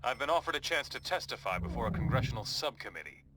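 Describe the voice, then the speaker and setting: A man playing an earnest, commanding superhero team leader in a video game, briefing in a measured, resolute way. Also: medium pitch, very animated, noisy background, lightly acted. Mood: concerned, resolute.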